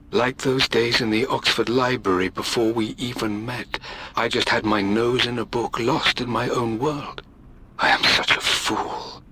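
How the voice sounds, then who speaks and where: A man's voice: lowish voice, sharp tone, a little hiss or hum, rough recording. An actor playing a middle-aged British scholar, earnest and emotive, reading his journal as a game voice-over. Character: obsessive scholarly British father, earnest and brooding.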